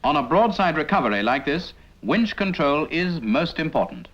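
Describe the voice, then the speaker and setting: Lively 1950s British training-film narrator, male. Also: fairly high pitch, normal speed. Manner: didactic, addresses the trainee with rhetorical questions. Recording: dull 1950s film soundtrack.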